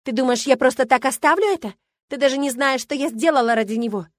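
Female reader as furious younger romantic rival, high shrill voice, shouted accusations, spiteful, dramatic audiobook dialogue. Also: fast, no room sound, clean studio sound, close to an everyday voice.

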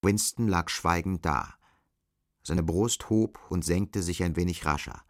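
Deep-voiced male narrator. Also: a little slow, no echo, clean studio sound. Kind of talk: scripted literary narration for audio drama.

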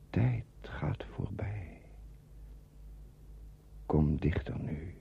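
An actor playing a poetic narrator in a radio play, speaking slowly and in a hushed, incantatory way with a husky, gravelly voice. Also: male voice, rumbling low, heavily acted. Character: hushed poetic male narrator of a sleeping town. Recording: dull vintage radio recording.

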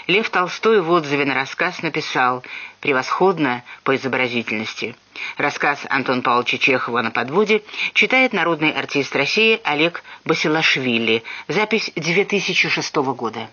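A male radio announcer gives a scripted introduction, measured and formal. His voice sits a bit high with a bright, crisp edge. The recording is slightly dull. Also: animated.

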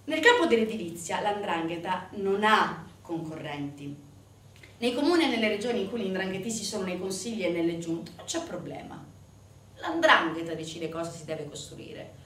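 A woman's voice: on the low side, animated, normal speed, a bit bright. An actress playing an indignant, sardonic storyteller in a theatrical monologue, putting emphatic rhetorical questions to her audience. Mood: grave, accusing. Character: indignant, sardonic woman storyteller denouncing organized crime. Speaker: stage actress in a solo show. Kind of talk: theatrical narrative monologue on organized crime. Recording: roomy, distant stage recording, faint noise.

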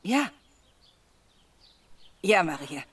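A woman's voice: on the low side, very animated, extremely slow, fairly bright tone, a little hiss or hum, full character voice. An actress playing a poor, blunt, hard-bitten village mother in a German radio drama, in standard German. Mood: coaxing.

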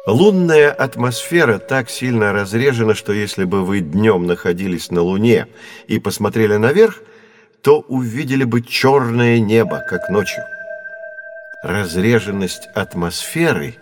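A man playing a patient, learned astronomer who guides children in an educational audio drama, measured and didactic. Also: fairly low pitch, close to an everyday voice. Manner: measured, didactic, clearly enunciated.

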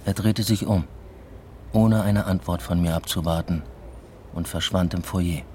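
A restrained male lecturer narrating a radio drama. His voice is deep and his delivery understated and matter-of-fact. There is faint noise in the background. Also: steady tone, unhurried, darkish tone. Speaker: narrator and lead. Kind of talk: scripted first-person radio play narration.

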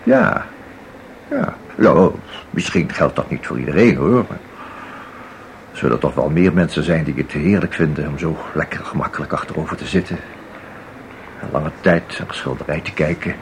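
A chatty, flustered everyman in a radio play. His very deep voice rises and falls in lively swoops as he hesitates, repeats himself and tacks on little questions. There is faint noise in the recording. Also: normal speed, creaky, heavily acted. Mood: contented. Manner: hesitant, rambling, full of repetitions and tag questions. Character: chatty, flustered ordinary man, an amateur art lover. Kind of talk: Dutch radio play acting. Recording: audible background noise.

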